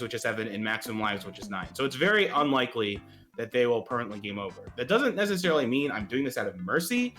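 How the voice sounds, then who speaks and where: A man with an American accent, a guest game master explaining settings casually, relaxed and chuckly. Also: medium pitch. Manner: relaxed, chuckling, hesitant with fillers.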